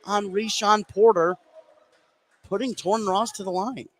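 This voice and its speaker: A man's voice: high voice, steady tone, unhurried. A man doing live play-by-play commentary on a basketball game. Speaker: play-by-play sports announcer. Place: indoor high school basketball game.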